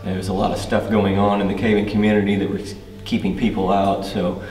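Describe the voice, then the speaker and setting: A man's voice: on the low side, flat delivery, brisk, darkish tone. A man, talking casually in an interview. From Missouri, United States. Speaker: caving class guide. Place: inside a rocky cave. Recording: distant, echoing cave, faint music.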